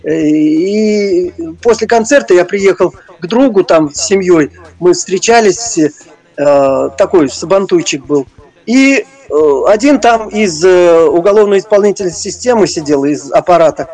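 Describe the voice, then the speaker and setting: Halting, high-voiced older man reminiscing. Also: lively intonation, darkish tone. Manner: halting, searching for words. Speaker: interview guest, retired prison-service officer and former stage performer. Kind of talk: reminiscing interview talk.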